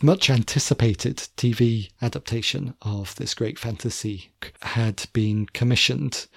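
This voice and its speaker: A man's voice: medium pitch, gravelly, darkish tone, no room sound, studio quality. A man co-hosting a casual podcast with his wife, chatty and prone to repeating himself as he corrects his own slips. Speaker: podcast co-host. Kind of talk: casual chat.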